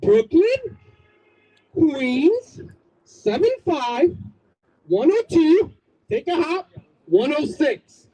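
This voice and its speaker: A man's voice: very high-pitched, sing-song, extremely slow, pauses often, warm tone, a little hiss or hum, poor audio. A man from Bangladesh giving an emphatic outdoor community speech, rallying the crowd with repetition and jokes. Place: outdoors at a street corner.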